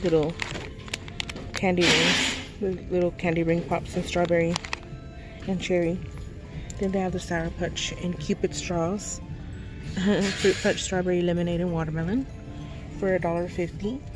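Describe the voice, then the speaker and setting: A woman with a slightly low voice talks through a store aisle, naming products and prices as she goes. She films on a handheld phone. Loud background music sits under her voice. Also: fairly even intonation, normal speed, pauses often, rough recording. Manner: reads out products and prices, soft laughs. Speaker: vlogger. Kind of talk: casual shop-with-me narration. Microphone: phone held while filming. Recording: music overlay loud behind voice. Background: store noise and music.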